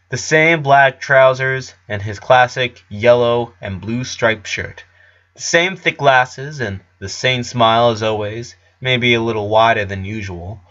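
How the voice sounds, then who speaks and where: Bright, forceful male story narrator. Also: mid-range voice, dull audio.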